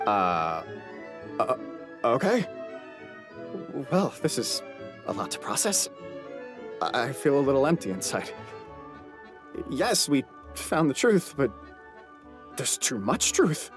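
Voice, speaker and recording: An actor playing a hesitant, bemused young adventurer in a video game, plain-spoken in manner. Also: male voice, a little high, very animated, normal speed, over music. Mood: dazed.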